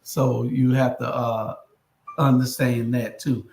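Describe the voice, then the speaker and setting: A man in his sixties leads a loose live-stream chat, his voice at an ordinary middle pitch. He hesitates often, piling up fillers and restarts before each point. The room around him is echoey. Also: level tone, vocal fry, a little mellow. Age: 60s. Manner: halting, many fillers and restarts.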